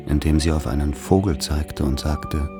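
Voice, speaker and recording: An actor in a radio play playing a grave man who recalls a dark rural childhood, speaking in solemn, measured, poetic phrases with a husky voice. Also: extremely low pitch, steady tone, over music. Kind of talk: literary radio play monologue.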